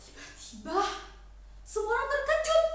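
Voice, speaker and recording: A teenage young woman from Indonesia tells a folktale with theatrical expression. Her voice is high and bright. It was captured in a room with very heavy echo. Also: sing-song, normal speed. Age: teens. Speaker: storytelling contest entrant. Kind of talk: dramatic folktale narration. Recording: very echoey room.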